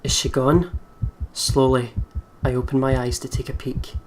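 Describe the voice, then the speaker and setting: A woman's voice: rumbling low, steady tone, moderate pace, a little mellow, a little hiss or hum. A woman with a Scottish accent hosting a let's play, casual and sweary, switching between commentary and reading the game text aloud. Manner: sweary, informal. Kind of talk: casual gaming commentary with read-aloud narration. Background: game music.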